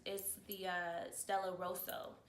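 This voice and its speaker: A woman's voice: fairly low pitch, flat delivery, slow pace. A woman of thirty-one talking casually about herself as an interview guest. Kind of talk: casual personal chat. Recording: echoey room with faint noise.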